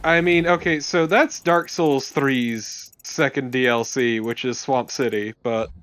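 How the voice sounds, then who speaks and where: A man's voice: medium pitch, fairly even intonation, measured pace, a bit bright. A man chatting casually as a co-commentator on a gameplay video. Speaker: let's play co-commentator. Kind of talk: casual chat. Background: video game music.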